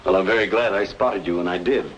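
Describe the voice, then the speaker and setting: Actor as a low-voiced, grave formal doctor. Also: male voice, natural voice. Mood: grave, cautioning. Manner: formal, deliberate, hesitant. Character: grave, hesitant male doctor, formal and insistent. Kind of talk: old-time radio noir drama acting. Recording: dull, noisy old radio recording.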